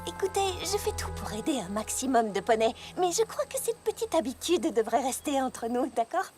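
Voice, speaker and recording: A woman's voice: high-pitched, very animated, moderate pace, music in the background. A woman voicing a kindly, slightly awkward young princess pony who teaches, in a cartoon dub, polite and earnest. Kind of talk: French cartoon dub acting.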